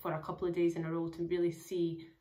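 A young woman talks casually to a camera in a small room at home. Her voice sits a little low for a woman and sounds hoarse and crackly. She rambles along with plenty of "like" and "um.". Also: monotone, quick pace, dark tone. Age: young adult. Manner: chatty, rambling, many fillers. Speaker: travel vlogger.

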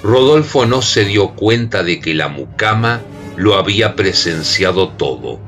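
Low-voiced dramatic radio narrator. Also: male voice, big pitch swings, fairly bright tone, soft background music. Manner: measured, dramatic storytelling.

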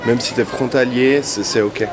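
A young man answering street interview questions in a relaxed, agreeable way, filling his answers with lots of fillers. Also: mid-range voice, moderate pace, over a noisy crowd. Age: young adult. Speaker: industrial operator, interviewee. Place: city street outdoors. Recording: busy street with passersby.

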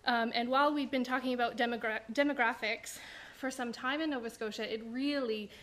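A woman in her thirties with a squeaky voice, giving a chatty panel talk at a podium microphone in a university lecture room, with frequent ums. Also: fairly even intonation, fairly quick, fairly bright tone. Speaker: provincial immigration director. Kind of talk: panel presentation.

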